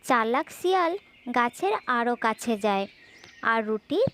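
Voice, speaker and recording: A woman with a distinctly high voice narrates a children's fable in a scripted storytelling style. There is faint noise in the recording. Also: lively intonation, a little slow, a bit bright.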